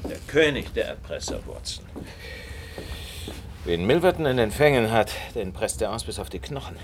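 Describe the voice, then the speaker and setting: Incisive gentleman sleuth, radio crime drama, highly varied intonation, measured, courteously condescending, faint noise behind. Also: sing-song. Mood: grave, dramatic.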